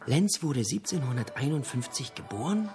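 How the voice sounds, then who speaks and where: An actor playing a talkative literature buff in a radio drama, lecturing theatrically in pithy, punchy fragments. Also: male voice, medium pitch, very animated, normal speed, music in the background. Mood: relishing, theatrical. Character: talkative literature buff holding forth in a pub.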